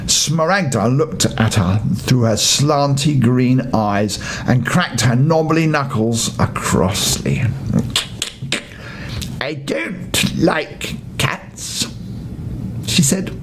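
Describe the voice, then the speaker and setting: An older man reading a children's bedtime story with expressive narration. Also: slow pace, few pauses, poor audio. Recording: faint rumbling background noise.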